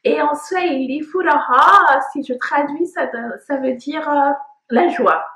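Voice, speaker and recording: A woman's voice: high-pitched, slow pace, bright, forceful tone. A woman in her thirties, originally from Congo, talking in a casual personal vlog, reflective and hesitant with many fillers. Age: 30s. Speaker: vlogger. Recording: echoey room.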